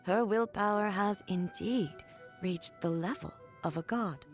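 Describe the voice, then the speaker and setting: A woman plays a stern, godlike female shogun in a video game. Her mid-pitched voice is formal, detached and clipped, with light music underneath. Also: unhurried, natural voice. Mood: solemn, admiring. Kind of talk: scripted video game character voice lines.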